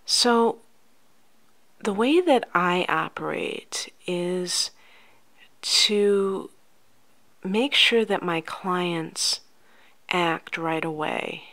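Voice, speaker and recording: Slow, soft-spoken ASMR woman. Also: on the low side. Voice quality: soft, often near-whispered. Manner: gentle, unhurried, soothing, addressing the listener directly. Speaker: ASMR host playing a life coach.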